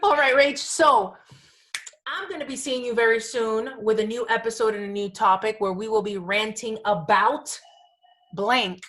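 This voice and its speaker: A woman's voice: on the high side, moderate pace, a bit bright. Emphatic woman co-hosting a podcast. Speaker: podcast co-host. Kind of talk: casual podcast chat.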